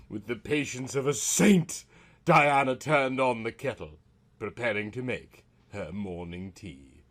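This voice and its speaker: A man narrating a scripted comic story in a melodramatic, mock-serious manner. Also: mid-range voice.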